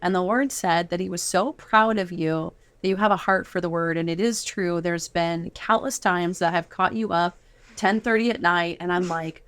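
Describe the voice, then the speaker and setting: A woman, probably middle-aged, hosting an interview in an excitable, encouraging, upbeat way. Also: lowish voice, moderate pace, clean tone. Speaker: show host interviewing her children.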